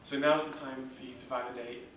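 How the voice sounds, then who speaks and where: A man leading a startup workshop, explaining principles in an informal, steady way with fillers. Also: medium pitch, a little fast, slight background noise. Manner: steady, monotone delivery with filler words. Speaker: workshop instructor. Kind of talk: informal teaching talk. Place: large echoey room. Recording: echoey room from far off.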